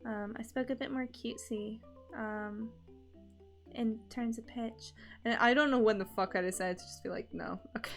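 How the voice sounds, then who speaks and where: A woman's voice: a little high, steady tone, moderate pace, faint music behind. A young woman from Scotland with a mixed Edinburgh and Canadian accent, chatting candidly and loosely as a streamer. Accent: mixed Edinburgh Scottish and Canadian. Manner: rambling and candid, with loose swearing. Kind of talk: casual stream chat.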